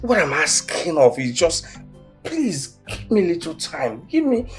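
An actor playing a struggling young boyfriend who pleads and then bursts into shouting. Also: male voice, a little high, sing-song, unhurried, soft background music. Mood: pleading. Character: struggling young man, broke boyfriend, hot-tempered.

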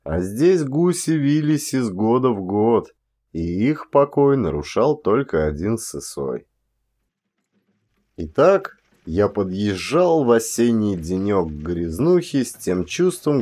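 A man reading literary prose as an actor, in expressive scripted narration. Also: fairly low pitch.

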